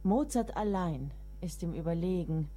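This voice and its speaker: Mellow, motherly writer nearing forty. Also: lowish voice, expressive, normal speed, faint music behind. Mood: tender, admiring. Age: middle-aged. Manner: motherly, wry, dramatic. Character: devoted, exasperated woman writer nearing forty. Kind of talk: radio play acting.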